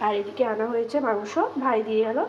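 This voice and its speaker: A young woman vlogger speaks in a West Bengal Bengali accent, addressing viewers in an easy conversational way. Her voice sits a little high and moves quickly. There is clear noise behind her. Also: steady tone, dull audio. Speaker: daily vlogger. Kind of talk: casual vlog chat to viewers.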